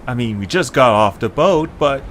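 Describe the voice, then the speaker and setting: A man playing an excitable, good-hearted young creature adventurer who grumbles and exclaims in an amateur audio drama. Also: medium pitch, moderate pace, a little hiss or hum, close to an everyday voice. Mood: grumbling.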